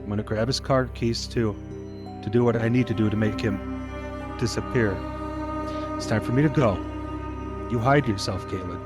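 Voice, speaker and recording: An actor playing a protective, guilt-ridden deputy father in an audio play, speaking tenderly and haltingly with a stern edge. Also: medium pitch, music in the background, close to an everyday voice. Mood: grim, resolute. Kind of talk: dramatic audio theatre acting.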